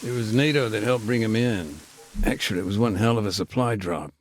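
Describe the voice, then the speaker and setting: An actor playing a middle-aged, war-weary former peacekeeper, speaking plainly with military jargon and dry humor, in a game cutscene. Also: male voice, fairly low pitch, a little fast, natural voice. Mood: calm. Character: war-weary male ex-peacekeeper telling his story. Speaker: interviewee. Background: music.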